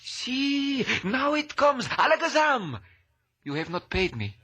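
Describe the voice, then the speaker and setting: An actor playing a comic, wheedling shopkeeper with a Spanish accent and elaborately polite theatrical manners in a 1950s radio drama. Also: male voice, very high-pitched, big pitch swings, takes it slowly, bright, forceful tone, breathy edge, heavily acted.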